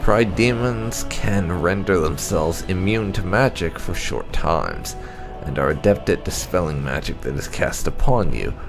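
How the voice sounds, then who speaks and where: Stern fantasy-game Templar commander, played by a male narrator, low and gravelly, lecturing gravely.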